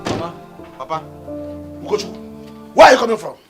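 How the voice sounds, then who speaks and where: An actor with a Nigerian accent playing a stern village father who scolds his son loudly and draws out his words. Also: fairly high pitch, expressive, fast talker, faint music behind. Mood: scolding. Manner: shouting, scolding, lingering on words. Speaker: father in a family drama. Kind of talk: Nollywood comedy-drama acting.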